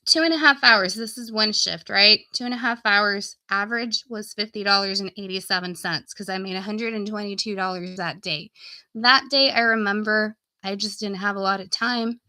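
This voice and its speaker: A woman talks straight to her viewers like an informal coach on a live stream. Her voice is bright and forward at a middling pitch, though she is getting sick and starting to lose it. The sound is dry, with very little room to it. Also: moderate pace, dry recording. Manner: informal, folksy, addressing viewers directly. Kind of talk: casual live stream chat and sales pitch.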